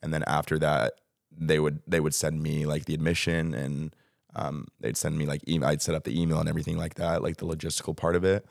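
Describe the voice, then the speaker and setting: A man in his twenties with a Texan American accent, talking casually as a guest about student life, relaxed and rambling with lots of "like" and "um". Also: very deep voice, fairly quick, vocal fry, no echo, studio quality. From United States. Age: 20s. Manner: relaxed, rambling with many likes and ums. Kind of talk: casual interview chat.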